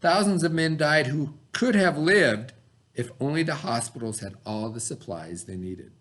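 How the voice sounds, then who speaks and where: A man with a fairly high-pitched voice reads aloud as a schoolteacher would. His phrasing is expressive and instructive, suited to questioning pupils and explaining things simply. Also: normal speed, a little hiss or hum. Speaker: teacher reading aloud to pupils.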